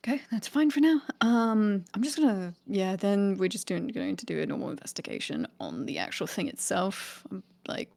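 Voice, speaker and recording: Creaky-voiced woman thinking aloud at a game. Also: medium pitch, very animated, normal speed, few pauses, a little mellow. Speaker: tabletop game player. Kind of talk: casual game chat.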